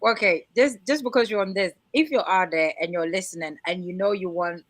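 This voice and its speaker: A woman's voice: on the low side, fairly quick, dry recording, clean studio sound. A woman hosting a casual interview, prompting her guests in an informal, encouraging way. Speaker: host.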